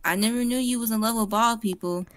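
A woman's voice: a little high, fast, dry recording, studio quality, close to an everyday voice. A young woman playing a skeptical, teasing character in an improvised game roleplay, wry and slangy.

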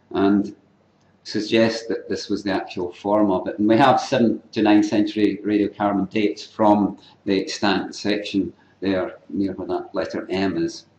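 Older scottish guy giving a talk, low voice, keeps clearing his throat, roomy echo. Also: darkish tone, muffled recording. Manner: unscripted, frequent throat clearing and restarts. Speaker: keynote lecturer in archaeology. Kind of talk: academic conference lecture. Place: lecture hall. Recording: echoey lecture hall.

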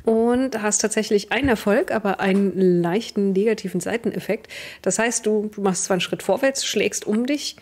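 A young woman runs a tabletop role-playing game. Her voice is a little husky, at a medium pitch. She describes scenes vividly as the game master, close on a headset. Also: normal speed, hardly any pauses, a little mellow. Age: young adult. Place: indoor home streaming setup.

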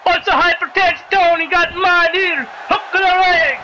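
A man playing an excitable, bumbling Italian-accented wrestling commentator who calls the match in exclamations and stumbles over his words. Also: extremely high pitch, normal speed. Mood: excited. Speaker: play-by-play commentator. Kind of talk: comic pro wrestling commentary. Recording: clearly noisy background.

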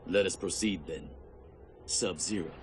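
A man's voice: sits low, measured pace, background noise. An actor playing a grim, loyal and defiant male ninja warrior in a video game cutscene. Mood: resolute.

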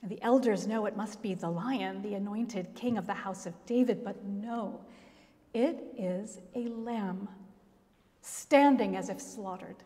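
A middle-aged woman pastor preaching a sermon at a pulpit, telling the story expressively with dramatic pauses. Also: medium pitch, dark tone. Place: large church, at a pulpit. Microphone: gooseneck pulpit mic. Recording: long church reverb.

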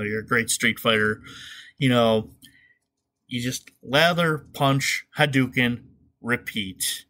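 A man's voice: mid-range voice, bright, forceful tone. A man hosting a comedic podcast, talking casually about movies in a sarcastic, irreverent way. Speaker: podcast host.

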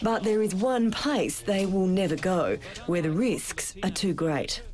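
A woman reporter reading a scripted current-affairs voice-over in a measured, dramatic storytelling way. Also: medium pitch, noisy background. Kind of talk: scripted news narration. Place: studio voice-over.

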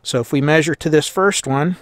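A man's voice: fairly high pitch, fairly quick, no room sound. An American man explaining a repair step by step in a plain-spoken, informal way as he works at a bench. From United States. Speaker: host of a radio repair tutorial. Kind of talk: hands-on tutorial, explaining as he works. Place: indoors at a workbench.

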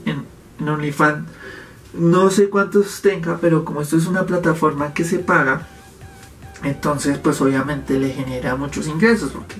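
A man's voice: a little high, fairly bright tone. A man, talking to camera from a home office and explaining his topic, gesturing as he speaks. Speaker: YouTube host. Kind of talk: casual commentary to camera. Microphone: fixed camera, medium framing.

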